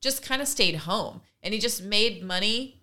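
A woman's voice: mid-range voice, expressive, moderate pace, sharp tone. A woman co-hosting a conversational podcast, casually retelling things she has heard. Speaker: podcast co-host.

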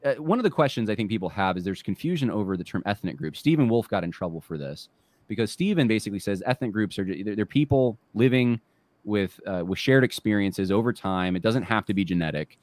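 A man giving measured, steady spoken commentary in a played clip. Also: fairly low pitch, no echo.

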